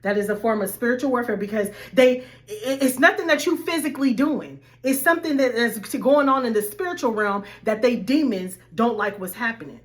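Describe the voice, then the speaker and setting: A woman life coach, slightly hoarse, talking animatedly to her viewers in a casual faith-based vlog. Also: a little high, brisk, hardly any pauses. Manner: animated, addresses viewers as y'all.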